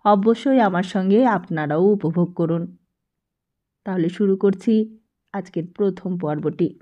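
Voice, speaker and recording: A woman's voice: on the low side, measured pace, mellow, dull audio. A woman, reading a scripted story aloud as an audiobook narrator.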